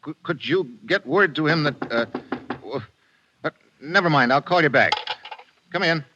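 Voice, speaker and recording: An actor playing a tough, wisecracking American investigator in a 1950s radio drama, speaking in a wry, clipped way. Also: male voice, fairly high pitch, lively intonation, moderate pace, natural voice. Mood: urgent. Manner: wry, clipped, hard-boiled. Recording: muffled, noisy old radio recording.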